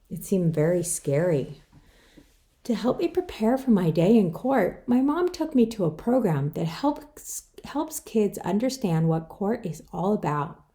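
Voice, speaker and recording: A woman's voice: medium pitch, dark tone. A woman author reading her own children's book aloud, telling it in the first person. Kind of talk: scripted children's story read-aloud.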